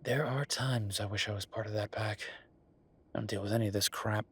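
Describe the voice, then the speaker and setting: A man performs an affectionate, wry werewolf bureaucrat in an intimate audio roleplay. His voice is husky and on the low side, with little rise and fall. He talks casually and haltingly, like an easygoing boyfriend chatting at the office. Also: fairly even intonation. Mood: wry, resigned.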